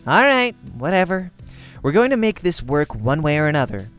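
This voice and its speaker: A man's voice: fairly high pitch, sing-song, normal speed, a bit bright, soft background music. Man voicing a bossy, ambitious young woman gamer. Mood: brisk, bossy. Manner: curt, decisive, businesslike. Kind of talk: amateur visual novel character acting.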